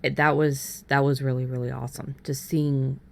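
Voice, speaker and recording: A woman's voice: extremely low pitch, flat delivery, normal speed, gravelly. A woman hosting a podcast, explaining things in an informal, conversational way. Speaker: podcast host. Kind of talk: casual conversational podcast discussion.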